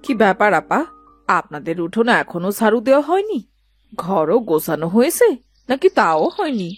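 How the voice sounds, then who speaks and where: Actress as nosy village auntie, chatty, gossipy, lively intonation, cartoon dialogue, music behind. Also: female voice, mid-range voice, moderate pace. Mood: familiar, nosy. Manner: gossipy, familiar. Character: chatty, inquisitive village aunt paying a visit.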